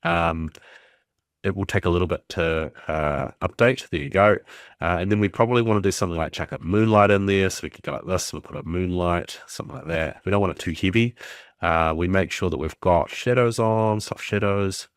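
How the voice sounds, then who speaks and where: A man's voice: low voice, brisk, clear, resonant tone, dry recording, clean studio sound. A man with a stuffy cold, casually talking through a tutorial, thinking aloud with lots of ums and uhs. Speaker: game developer teaching. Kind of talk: casual screen-recorded tutorial.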